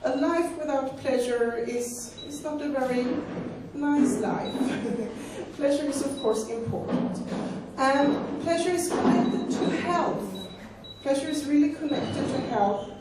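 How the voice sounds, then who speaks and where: A woman's voice: sits high, takes it slowly, very noisy. A woman in her forties with a Swedish accent, a native Swedish speaker, giving a live lecture on sex education in a conversational way and often repeating words as she searches for them. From Sweden. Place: hall. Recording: echoey hall recording from far off.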